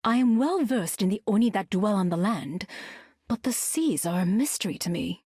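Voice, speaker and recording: A composed huntress from a video game. Her voice is mid-pitched with a rough edge. She speaks formally, in a dry, close recording. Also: lively intonation, normal speed, mellow, no echo. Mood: composed, resolute. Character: composed, formal female demon hunter. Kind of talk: video game character voice lines.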